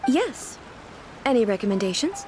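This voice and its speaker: A woman's voice: a little high, sing-song, moderate pace, low-quality recording. A woman playing a dignified, sheltered young female shogun in a video game, speaking formally and earnestly with literal-minded curiosity. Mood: reassuring. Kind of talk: scripted video game voice acting. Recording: game music behind the voice.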